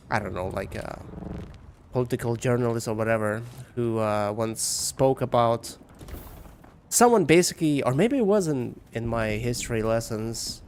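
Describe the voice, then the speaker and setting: A man's voice: mid-range voice, a little mellow, a little hiss or hum. A man from Lithuania with a Lithuanian accent, a game streamer giving casual, rambling and somewhat hesitant commentary and chat. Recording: music behind the voice. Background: music.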